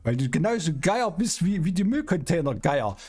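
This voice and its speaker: A man's voice: on the high side, very animated, normal speed, a little mellow, husky. A middle-aged man chatting casually and colloquially in improvised game role-play, with a teasing, grumbling manner. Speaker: streamer role-playing in an online game. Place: small indoor streaming room. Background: music in the background.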